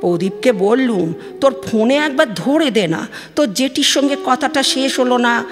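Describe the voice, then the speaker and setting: A woman's voice: a little high, lively intonation, normal speed, close to an everyday voice. A woman playing an aggrieved, gossipy middle-aged village mother from West Bengal on the phone in an audio drama, chatty and complaining, with a Bengali accent. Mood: weary, complaining. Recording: long studio reverb, music behind.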